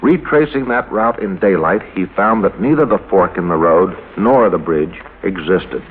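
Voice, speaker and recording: A man's voice: low-pitched, normal speed, slight background noise. A man reading scripted narration for a vintage radio mystery. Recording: muffled old radio recording with music.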